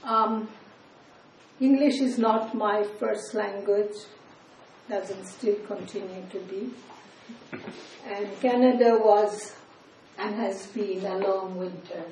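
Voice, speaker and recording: An older woman from India, whose first language is Bengali, reads her poems slowly, letting line endings fall away softly. Her voice is mellow and unhurried. She is heard from some distance in an echoey room, with a faint hum of ambience behind her. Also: medium pitch. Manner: slow, measured reading with soft, trailing line endings. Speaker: poet. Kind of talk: poetry reading with spoken asides. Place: reading event in a room.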